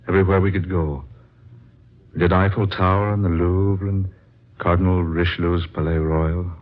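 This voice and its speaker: An actor playing an earnest, searching traveler, narrating his diary with solemn, literary deliberation in 1940s radio drama style. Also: deep voice. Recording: dull vintage radio recording with music. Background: music.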